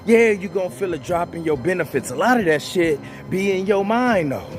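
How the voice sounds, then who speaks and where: A man's voice: high voice, animated, brisk. Blunt, profane man over music. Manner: blunt, profane, repetitive, calls listeners "bro". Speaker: channel host giving advice. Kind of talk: motivational monologue to viewers.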